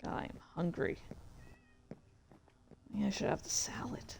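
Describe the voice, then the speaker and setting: A woman's voice: unhurried, darkish tone, a little hiss or hum. A woman hosting a casual livestream, rambling and narrating her own actions with exclamations as she plays a game. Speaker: livestreamer and host. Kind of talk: casual livestream chat while playing a game.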